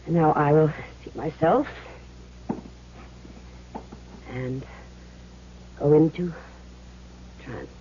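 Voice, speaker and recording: An actress playing a fed-up, sharp-tongued woman who works as a fake medium in a vintage radio drama. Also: sits low, takes it slowly. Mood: solemn, theatrical. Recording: muffled noisy old radio recording.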